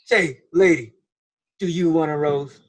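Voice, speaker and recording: A man's voice: on the high side, unhurried. A man playing a fussy, put-upon fairy-tale fellow, emphatic and theatrical, in an irreverent radio play.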